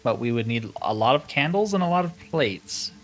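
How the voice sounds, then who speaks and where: A man's voice: mid-range voice, lively intonation, normal speed. A man giving casual let's-play commentary, musing aloud with wry asides as he plays. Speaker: gamer narrating his playthrough.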